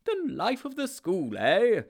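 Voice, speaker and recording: A man's voice: high voice, wildly swooping pitch, slow, husky, pitched above the performer's own voice, full character voice. A man voicing a jovial, beaming old headmaster with a hearty, genial manner.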